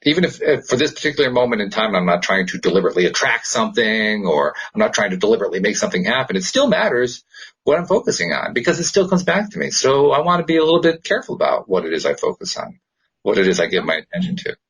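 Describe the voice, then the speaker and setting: A man co-hosting a podcast in casual, reflective conversation, thinking aloud in long explanations with a husky voice. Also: medium pitch, sing-song, fast, a bit bright, rough recording. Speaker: podcast co-host.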